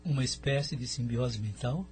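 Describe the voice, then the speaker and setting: A man's voice: medium pitch, moderate pace, a little mellow, breathy edge, soft background music. A man playing a respectful, curious pupil in an audio drama, asking in a formal, inquisitive way. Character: respectful curious male pupil questioning his mentor.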